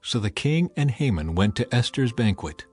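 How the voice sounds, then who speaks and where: Expressive male scripture narrator. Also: mid-range voice, moderate pace, husky, dry recording. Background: faint music.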